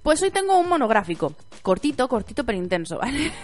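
Hoarse, giggly Spanish woman host. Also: a little high, very animated, fast, faint music behind. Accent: Spanish from Spain. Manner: playful, giggly, chatty. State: hoarse from pharyngitis. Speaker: podcast host. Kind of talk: casual radio chat.

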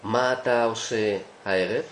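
A man giving a language lesson as the teacher, pronouncing short phrases deliberately with hesitant pauses. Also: on the low side, fairly even intonation, fairly bright tone, reverberant, a little hiss or hum.

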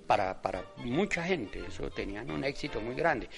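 Thoughtful older man, halting phrases. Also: medium pitch, big pitch swings, gravelly, music in the background. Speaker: interviewee commenting on theatre history. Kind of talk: reflective interview talk.

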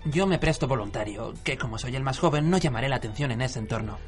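A man's voice: medium pitch, animated, quick pace, natural voice. Radio drama rookie policeman, male actor: mid-range voice, willing, casual, music behind. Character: willing, easygoing young male police officer.

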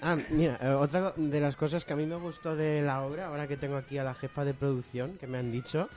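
A man's voice: fairly high pitch, fairly even intonation, fast, darkish tone. A man hosting a radio show, asking guests playful, hesitant questions. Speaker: radio host. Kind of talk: interviewing guests.

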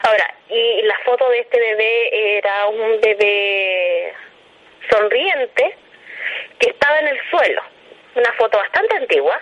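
A woman's voice: mid-range voice, a little slow, a bit bright, lo-fi sound. A Chilean woman being interviewed, casually recounting something she was told in a recorded audio. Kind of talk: casual spoken recollection.

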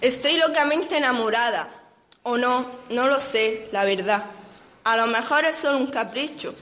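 A young female student plays a lovestruck teenage girl with a fairly high voice. She is secretive and guilt-ridden, torn between friendship and love in an amateur radio soap. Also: measured pace, a bit bright, faint music behind. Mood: confessing, hesitant. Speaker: student radio drama actor. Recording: amateur school radio play recording.

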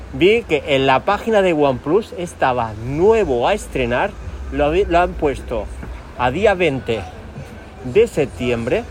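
A man with an accent from Spain chatting casually as a podcast host while out walking, in a rambling, hesitant way as he searches for words. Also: medium pitch. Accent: Spain Spanish. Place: outdoors, walking in town. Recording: outdoor street and vehicle noise.

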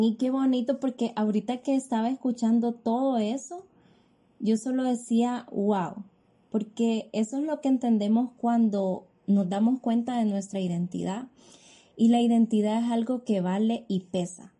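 A woman's voice: on the high side, dark tone. A woman host with a Honduran accent reflecting on faith in a preaching-style way. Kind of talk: faith talk show reflection.

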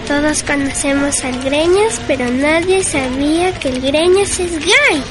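A woman's voice: high-pitched, a little slow, background noise. A female performer with an Ecuadorian accent playing a nosy, naive, playful little girl in a radio drama. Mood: naive, curious. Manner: childlike, playful. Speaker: actor in a radio serial.

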